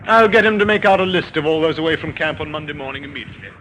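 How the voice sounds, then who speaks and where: An actor playing a genial, obliging British army major in an old-time radio drama, on a noisy vintage recording. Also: male voice, on the high side, rapid-fire, bright, forceful tone.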